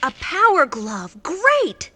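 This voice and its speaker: A woman's voice: extremely high pitch, huge pitch swings, slow pace, pushed and bright, a little hiss or hum, heavily acted. A woman voicing a plucky, friendly heroine in exaggerated cartoon game style. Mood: eager.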